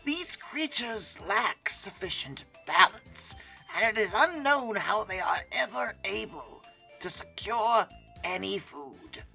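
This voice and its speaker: A woman acts out a nature documentary narrator with a slow, measured, formal delivery. The deadpan voice is bright and creaky, with light music behind it. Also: medium pitch, exaggerated voice, low-quality recording. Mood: solemn deadpan.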